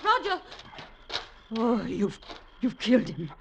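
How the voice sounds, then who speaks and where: A woman's voice: on the high side, huge pitch swings, slow, fairly bright tone, slight background noise, heavily acted. An actress playing an intense, accusing woman with theatrical emphasis in 1940s radio melodrama. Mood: anguished. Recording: old 1940s radio broadcast.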